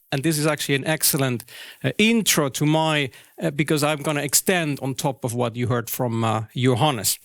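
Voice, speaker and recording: A man's voice: on the high side, fairly bright tone, no room sound, clean studio sound. A man in his forties from Switzerland speaking as a consultant at a business conference, conversational and often correcting himself mid-sentence. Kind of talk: interview and conference presentation.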